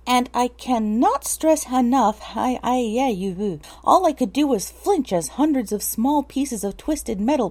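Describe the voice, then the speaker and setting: A woman's voice: sits high, darkish tone. A woman narrating a scripted story, breaking off to correct herself in exasperated asides. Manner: self-correcting, muttering exasperated asides between takes. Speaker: narrator recording an audiobook.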